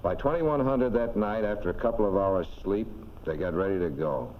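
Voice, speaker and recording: A man's voice: fairly low pitch, moderate pace. A man narrating a 1940s military training film in a folksy, clipped, instructive way. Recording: dull, noisy 1940s film soundtrack.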